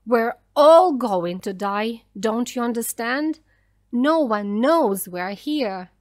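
A woman voicing an exhausted, frightened and bitter man in a storybook, shouting with scorn and despair. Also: on the high side, lively intonation, slow, no echo, clean studio sound. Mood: angry scorn.

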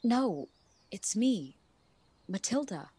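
A woman's voice: medium pitch, big pitch swings, slow pace, mellow, husky, dry recording, exaggerated voice. An actress in a radio play playing a weary, hardened woman who speaks plainly and earnestly. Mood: tentative, friendly. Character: weary, hardened woman worn down by years of debt.

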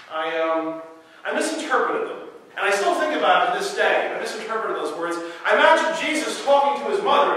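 A middle-aged man, a pastor preaching a solemn sermon with deliberate, dramatic pauses. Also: high voice, pushed and bright. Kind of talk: Good Friday sermon with scripture reading. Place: small church, indoors, before seated congregation. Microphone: distant camera microphone. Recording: echoey church hall from far off, faint noise.

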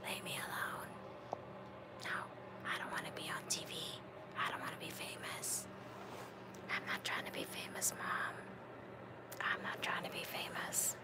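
Woman voicing a sulky puppy, whispered, slow, low. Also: sing-song. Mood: grumpy. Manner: whispered, pleading. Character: grumpy shy male puppy wanting to be left alone.

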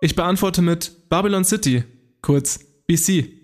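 A man's voice: high voice, measured pace. A man playing a bookish, confident young student detective in an audio drama, speaking smugly and precisely. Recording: music behind the voice.

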